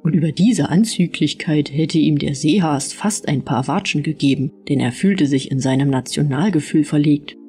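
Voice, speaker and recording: A woman reading a scripted fairy-tale audiobook as narrator, with expressive storytelling. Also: low voice.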